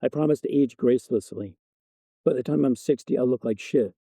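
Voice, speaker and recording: A man's voice: mid-range voice, a little fast, gravelly, mellow, no echo. A man playing a bickering fiancé of about thirty, sarcastic yet apologetic, in a story reading. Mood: placating. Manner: defensive, sarcastic, placating. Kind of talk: storybook dialogue narration.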